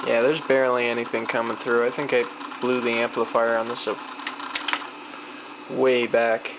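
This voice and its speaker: Gravelly casual voice over noisy machine hum. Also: medium pitch, little pitch movement, normal speed. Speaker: hobbyist demonstrating vintage tape recorder. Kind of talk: casual off-the-cuff demonstration chat. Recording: clearly noisy home video, machine hum.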